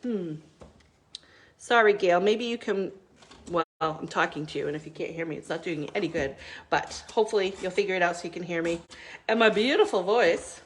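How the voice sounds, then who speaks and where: A woman's voice: mid-range voice, slight background noise. A middle-aged woman hosting a live crafting video, chatting casually with many ums while she works, still stuffy from a cold.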